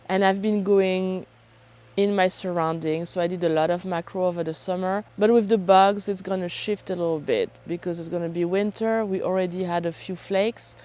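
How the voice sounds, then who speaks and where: Low-voiced woman, hoarse with a cold. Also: a little mellow. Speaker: photography vlogger and host. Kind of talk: casual talk to camera.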